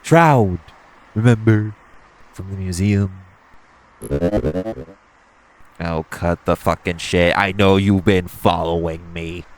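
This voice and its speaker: A man's voice: low voice, measured pace, rough recording. A man playing a flirty, cocky supervillain thief in an audio roleplay, teasing the listener with mock politeness. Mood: exasperated. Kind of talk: theatrical audio roleplay, one-sided dialogue to the listener.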